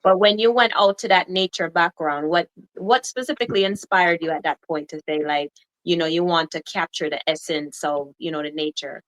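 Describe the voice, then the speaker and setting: A woman's voice: fairly low pitch, fairly even intonation, normal speed, fairly bright tone, rough recording. A woman co-hosting a casual chat, asking thoughtful questions with frequent "you know" fillers. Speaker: co-host.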